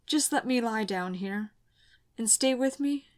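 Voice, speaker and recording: A woman's voice: on the high side, a little mellow, pitched above the performer's own voice, natural voice. A woman voicing a dying knight mentor, faint and tender. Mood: pleading weakly. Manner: weak, halting, tender. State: fading, in pain. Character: dying older female knight, gentle mentor.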